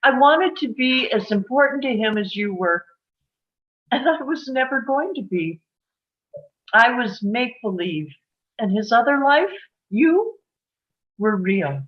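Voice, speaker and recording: An actress plays a wistful small-town woman carrying an old, unanswered love. Her voice sits fairly high and she speaks earnestly and haltingly, with open feeling. The microphone sound is slightly dull. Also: sing-song. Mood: tearful, wistful. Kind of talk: table read acting.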